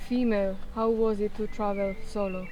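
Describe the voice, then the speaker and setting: A woman's voice: mid-range voice, little pitch movement, moderate pace, dark tone, slight background noise. A woman asking short casual interview questions in simple, non-native English phrasing.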